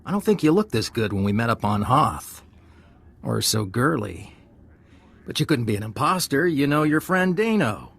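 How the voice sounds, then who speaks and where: Husky, sleazy space swindler. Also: male voice, mid-range voice, big pitch swings, moderate pace, a bit bright, full character voice. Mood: smug, needling. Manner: oily, chummy, insinuating. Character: sleazy, wheedling male space-smuggler swindler, falsely chummy. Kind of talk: video game voice acting.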